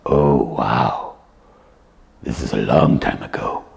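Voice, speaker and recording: A woman voicing a sleepy, naive, grumbling slipper puppet in a comic puppet show, gravelly and blunt with childlike questions. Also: very deep voice, takes it slowly, darkish tone, voice pushed down, full character voice. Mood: curious, surprised. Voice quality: gravelly, croaky.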